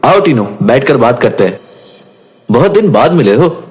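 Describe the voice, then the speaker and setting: A man playing a kind, confident young cook and restaurant owner, reassuring and friendly, in an animated moral story. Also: mid-range voice, moderate pace, close to an everyday voice.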